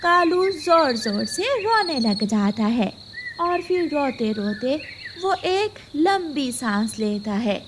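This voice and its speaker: A woman's voice: high voice, big pitch swings, slow pace. A woman giving scripted narration for a children's moral story. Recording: clear background noise, nature ambience.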